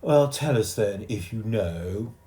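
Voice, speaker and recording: A man's voice: lowish voice, expressive, slow, dark tone, echoey room. A man voicing a boastful know-it-all boy who talks in a bragging, scornful way.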